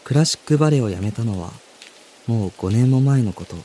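A woman playing a reserved, blunt high school boy in a radio drama, speaking both curt dialogue and introspective narration. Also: lively intonation, brisk, warm tone, slight background noise. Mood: wistful, introspective. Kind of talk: radio drama acting and first-person narration.

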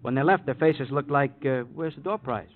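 A man's voice: mid-range voice, moderate pace, close to an everyday voice. Man acting a folksy police partner, tinny radio. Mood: jovial. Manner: folksy, rambling, colloquial. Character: chatty, good-natured middle-aged married police partner. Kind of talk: 1950s radio crime drama acting. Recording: tinny old radio with faint noise.

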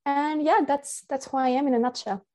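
A woman's voice: high-pitched, fairly even intonation, brisk, a little mellow. A young woman from Finland, a guest on a podcast, talking casually and thoughtfully with many fillers and restarts.

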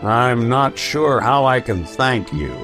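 A man playing a weary hard-boiled detective in a noir radio drama, gruff and plain-spoken. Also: medium pitch, sing-song, slow, over music. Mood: wry, puzzled.

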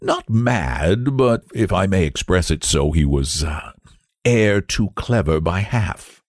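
A man's voice: low-pitched, expressive, slow, no room sound, clean studio sound, exaggerated voice. A man voicing an anxious, courtly elderly statesman in a storybook, hesitant and deferential. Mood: flustered.

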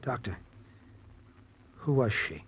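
Earnest guilty young doctor, super husky, old radio play, muffled sound. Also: male voice, medium pitch, animated, takes it slowly, full character voice. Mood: subdued, uneasy. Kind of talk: 1940s radio drama acting.

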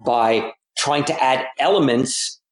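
A man in a podcast discussion about training science, talking haltingly with many restarts. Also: medium pitch. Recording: roomy echo.

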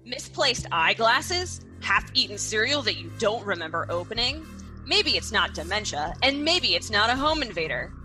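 A peppy commercial announcer, played by a woman in a radio play. Her voice is bright and bouncy. Faint music plays behind her. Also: big pitch swings, normal speed. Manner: upbeat commercial pitch. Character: bright, peppy female ghost-removal ad announcer.

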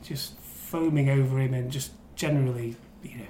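A man's voice: mid-range voice, moderate pace, mellow. A man chatting casually about football as a podcast guest, teasing and rambling, often repeating himself. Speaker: crime reporter and podcast guest. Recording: echoey room, faint background noise.

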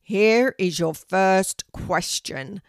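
A woman voicing a stern talking oak tree teacher in a children's storybook, loud and commanding with slow, deliberate delivery. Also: clean tone, dry recording, clean studio sound. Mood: booming, formal. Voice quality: deep, loud. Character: booming, stern oak tree teacher setting spelling questions.

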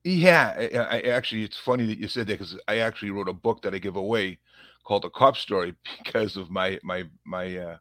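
A man's voice: fairly low pitch, a little fast, a bit bright, no echo. A man in his fifties with a thick Boston accent, a police officer telling his life story as a guest in a rambling, self-deprecating way. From Massachusetts, USA. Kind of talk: casual conversational interview.

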